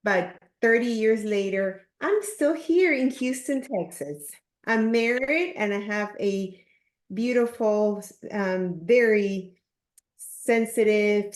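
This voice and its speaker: The voice is a woman in her fifties with a Peruvian Spanish accent. She speaks slowly, in a measured and earnest interview style, at a medium pitch. The sound is roomy, with noticeable echo. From Peru. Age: 50s. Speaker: podcast guest, leadership coach. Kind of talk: reflective interview talk.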